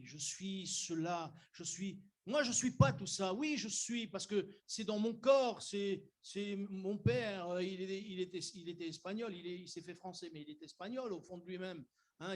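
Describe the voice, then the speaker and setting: High-voiced man preaching conversationally. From Algeria. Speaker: pastor. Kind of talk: sermon. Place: church.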